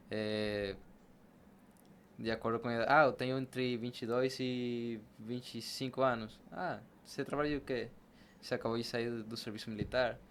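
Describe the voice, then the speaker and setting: A man, a Spanish speaker, talking casually and explaining things with examples as an interview guest. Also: medium pitch. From Venezuela. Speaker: podcast guest, barber. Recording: noticeable background noise and ambience.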